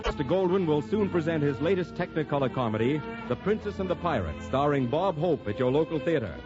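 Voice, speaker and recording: A man's voice: on the high side, animated, a little fast. A man with an American accent reading scripted credits as a 1940s radio announcer. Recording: muffled old radio broadcast recording. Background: music.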